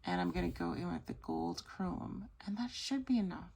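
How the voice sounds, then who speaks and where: A woman hosting a nail art tutorial, chatty and gushing, slightly hoarse as she loses her voice. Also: lowish voice, wildly swooping pitch, normal speed, a little mellow. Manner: chatty, gushing, self-correcting. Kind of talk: casual tutorial chat.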